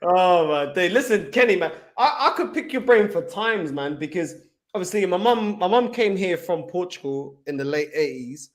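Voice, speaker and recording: A man's voice: sits high, animated, normal speed. A man in his thirties from England, hosting a casual chat in an earnest, heartfelt way. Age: 30s. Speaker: fan channel host.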